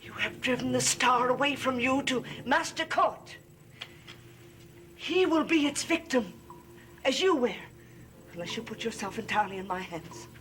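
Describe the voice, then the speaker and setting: A woman's voice: high voice, animated, moderate pace, pauses often, a bit bright, a little hiss or hum. An actress playing a scheming, devoted servant woman with occult ways, solemn and insistent, in a 1960s gothic soap opera. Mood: persuasive, devoted.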